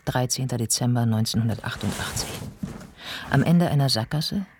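A man giving a scripted radio play narration in a measured, deliberate, descriptive manner. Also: medium pitch, noisy background.

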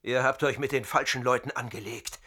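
A man's voice: mid-range voice, steady tone, a little fast, bright, forceful tone, slightly rough. A man playing an arrogant captured crime boss who threatens his kidnappers defiantly in a German radio drama. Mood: defiant, threatening.

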